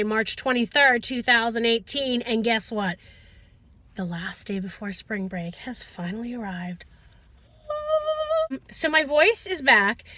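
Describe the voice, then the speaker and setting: A woman talking casually to viewers in a chatty, animated video diary, often interrupting herself. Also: a little high, no room sound. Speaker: vlogger, school teacher.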